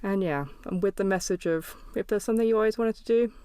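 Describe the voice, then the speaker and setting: A woman podcast host speaks candidly and reflectively, often pausing on ums and restarting her thoughts. Her voice is smooth and clear. The recording is dry and close, with no room sound. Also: mid-range voice, mellow, no room sound. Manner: reflective, candid, frequent fillers. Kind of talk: podcast intro and interview.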